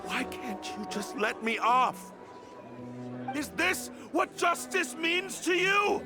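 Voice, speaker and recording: A man's voice: extremely high pitch, very animated, takes it slowly, heavily acted. An actor playing a condemned man pleading with his judge, accusing and desperate. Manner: pleading and accusing, rising to shouts. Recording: game cutscene with music.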